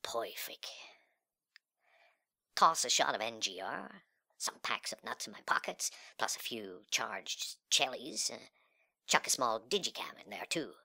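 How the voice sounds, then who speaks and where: A man performing a dramatic monologue as a sullen, cocky, nihilistic young slacker, with breathy, slangy, sneering delivery that swings between muttering and outbursts. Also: medium pitch, very animated, unhurried, pauses often, bright, forceful tone, full character voice. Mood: cool, scheming. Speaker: reader of a literary monologue. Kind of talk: dramatic first-person monologue in slangy speech.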